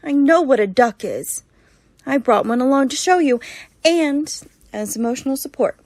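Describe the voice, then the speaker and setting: A woman's voice: sits high, animated, normal speed, a little mellow. A woman playing a defensive, daffy character in an audio comedy skit. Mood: indignant. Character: defensive, daffy young woman with an emotional support duck.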